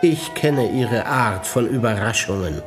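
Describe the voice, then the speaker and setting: An actor playing a bored, haughty German prince in a radio play, dry, dismissive and mocking. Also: male voice, mid-range voice, expressive, unhurried, natural voice. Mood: amused mockery. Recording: studio drama with music behind.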